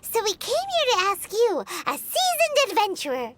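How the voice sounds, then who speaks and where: Squeaky, very high-pitched little fairy sidekick. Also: female voice, huge pitch swings, normal speed, full character voice. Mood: perky, explaining. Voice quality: squeaky, childlike. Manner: refers to herself in third person. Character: tiny childlike female fairy companion, perky and cheeky. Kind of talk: video game character acting. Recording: game music behind the voice.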